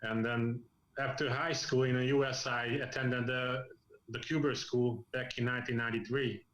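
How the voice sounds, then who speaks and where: A man's voice: mid-range voice, level tone, normal speed, fairly bright tone, lo-fi sound. A man in his forties from Hungary and the US, a comic artist, talking frankly and rambling with many restarts. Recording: echoey room.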